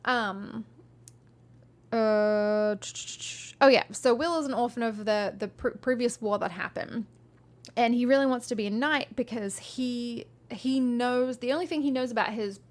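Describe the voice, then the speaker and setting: Chatty woman reading a book on stream. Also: medium pitch. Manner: chatty and friendly, frequent ums and restarts. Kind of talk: live-streamed audiobook reading and chat.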